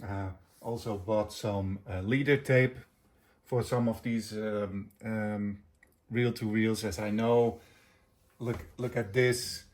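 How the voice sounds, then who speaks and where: A middle-aged man chatting casually as a video host reviewing gear, with a hesitant, halting delivery. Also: fairly low pitch, fairly even intonation.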